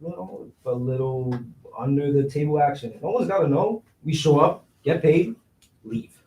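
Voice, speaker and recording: Guy acting as a broke streetwise schemer, high voice, slangy and pushy, stage play reading, echoey and far from the mic. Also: gravelly, dark tone. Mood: insistent, persuasive. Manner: slangy, fast-talking persuader with streetwise phrasing. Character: scheming, cash-strapped young city man, streetwise persuasive hustler. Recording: roomy echo, distant microphone.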